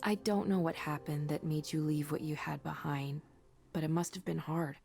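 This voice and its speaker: An actress playing an earnest, kind and self-assured woman in a visual novel, speaking in a natural, gently encouraging conversational way. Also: sits low, steady tone, normal speed, creaky, faint music behind.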